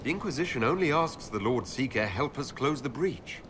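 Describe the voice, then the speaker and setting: An actor playing a resolute, diplomatic leader in a video game, speaking directly and earnestly. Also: male voice, mid-range voice, animated, moderate pace, noisy background, lightly acted. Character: resolute, diplomatic young hero leading a faction. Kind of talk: video game dialogue acting.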